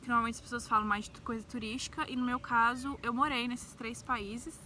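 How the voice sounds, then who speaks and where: Young Brazilian woman, bright higher-pitched voice. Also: fairly even intonation, a little fast. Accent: Brazilian, central-west. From Brazil, grew up in Brasília. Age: young adult. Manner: informal, peppers speech with tags seeking agreement. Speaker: travel vlogger. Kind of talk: casual, chatty personal storytelling. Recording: faint background noise.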